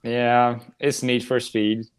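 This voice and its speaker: Man with a medium-pitched voice, chatting. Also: level tone, slow, clear, resonant tone. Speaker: podcast panelist. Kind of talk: casual movie chat.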